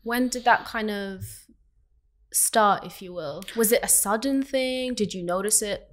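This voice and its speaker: A young woman hosting a podcast, asking gentle, encouraging questions into a desk mic in a small studio. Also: mid-range voice, unhurried. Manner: gentle, encouraging, frequent mm-hmms. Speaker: podcast host. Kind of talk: interview questions. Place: small studio room, indoors. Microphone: desk microphone on stand.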